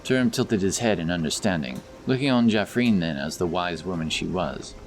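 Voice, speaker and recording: A man's voice: deep voice, animated, moderate pace, faint music behind. A man narrating a scripted fantasy story as the storyteller of an audio drama.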